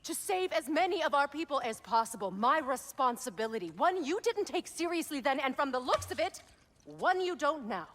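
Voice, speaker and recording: An actress playing a proud, wounded warrior goddess with a grave, formal, intense manner in a video game. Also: female voice, sits high, big pitch swings, normal speed, fairly bright tone, slight background noise. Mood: stern. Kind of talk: cinematic video game acting.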